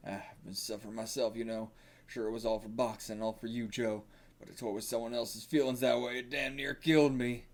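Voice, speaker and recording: Man acting out gruff, remorseful old boxing coach. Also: on the low side, steady tone, a little fast. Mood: solemn, remorseful. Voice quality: gravelly.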